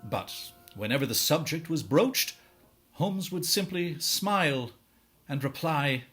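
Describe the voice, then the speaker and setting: Man as slow, lively dark-tale narrator. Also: a little high, slightly rough, soft background music, heavily acted. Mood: ominous, knowing. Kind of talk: dramatic scripted narration.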